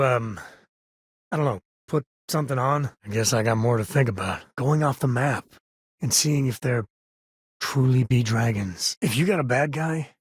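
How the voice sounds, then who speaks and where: An actor playing an easygoing, wisecracking space explorer cowboy in a video game, folksy and wry, with a sincere, devoted streak. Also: male voice, medium pitch, husky, no echo, clean studio sound, close to an everyday voice. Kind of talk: naturalistic video game dialogue acting.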